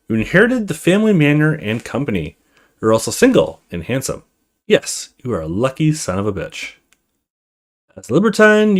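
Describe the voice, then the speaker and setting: Brief: male let's play host, narrating a visual novel with casual asides, mid-range voice, animated intonation. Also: medium pitch. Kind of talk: reading visual novel text aloud with casual commentary.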